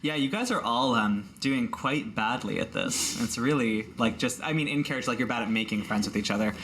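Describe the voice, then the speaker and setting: A man's voice: mid-range voice, fairly quick, a bit bright, slight background noise. A man narrating a tabletop game as game master, casual and wry in how he talks.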